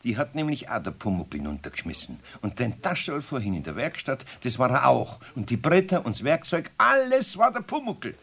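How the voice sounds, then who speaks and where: An actor playing a grumbly but kindly older master craftsman with a Bavarian accent in a children's radio play, colloquial and expressive. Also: mid-range voice, fairly quick, creaky, few pauses, breathy edge, full character voice. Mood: indignant.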